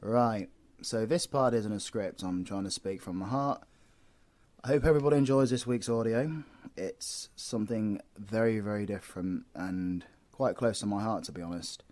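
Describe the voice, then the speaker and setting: A British man with a fairly low voice introduces his audio work off the cuff. He is chatty and earnest, talking directly and informally to his listeners. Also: normal speed, a little mellow. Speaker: voice actor and audio roleplay creator. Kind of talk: unscripted, heartfelt introduction to listeners.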